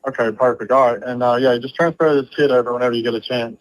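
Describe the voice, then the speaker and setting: A man's voice: mid-range voice, steady tone, fast talker, no echo. A man working as a boat captain, talking casually on a work phone call.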